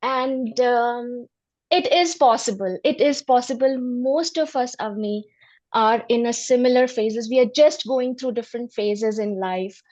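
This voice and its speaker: A woman's voice: fairly high pitch, measured pace, a little mellow, lo-fi sound. A middle-aged woman from Delhi, a women empowerment coach, speaking earnestly and reflectively as an interview guest, laughing often. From Delhi, India. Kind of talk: interview conversation.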